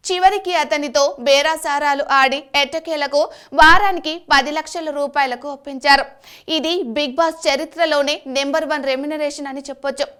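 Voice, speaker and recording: High-pitched woman narrating entertainment news. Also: steady tone, a little fast, a bit bright. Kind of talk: scripted voice-over narration.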